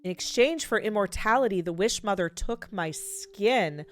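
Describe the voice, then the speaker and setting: Casting: self-centred undying game villain, acted by a woman, smooth and clear, grumbling and dismissive. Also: medium pitch, lively intonation, moderate pace, lightly acted. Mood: boastful, dismissive. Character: vain, self-centred immortal skinless villain recalling memories. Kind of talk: reading visual novel dialogue in character.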